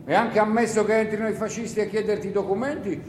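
A man performs a grumbling, sardonic partisan in a radio play. The voice is high for a man, rambling and teasing, full of needling questions. It rings in a roomy, echoing space with a faint layer of noise. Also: fast, close to an everyday voice. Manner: rambling, mock-rhetorical, needling listeners with questions. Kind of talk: theatrical radio play monologue.

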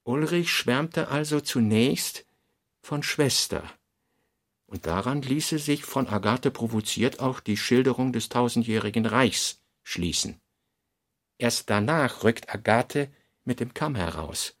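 A man reading scripted literary narration for a radio play in a measured, deliberate manner. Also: mid-range voice, animated, no room sound, clean studio sound.

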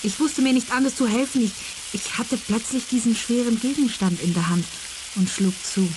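A woman's voice: a little high, normal speed, lightly acted. An actress playing a young female student in a radio play, confessing plainly and with resignation. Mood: distressed confession. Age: 20s. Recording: clear sizzling background noise.

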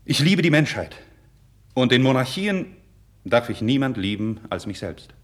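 A man's voice: medium pitch, big pitch swings, normal speed. An actor playing an idealistic, proud young nobleman in a classical radio drama, with earnest, declamatory, formal diction. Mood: impassioned. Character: idealistic young nobleman, passionate, proud, candid before his king. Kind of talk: 1950s German radio drama, classical verse acting.

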